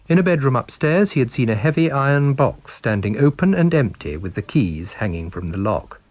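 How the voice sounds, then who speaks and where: A British man narrates a story in a middle-pitched voice with very animated intonation. The sound is close and dry, slightly dull in the top end. He reads as a practised storyteller. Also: no echo. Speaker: audiobook reader. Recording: dull, band-limited recording.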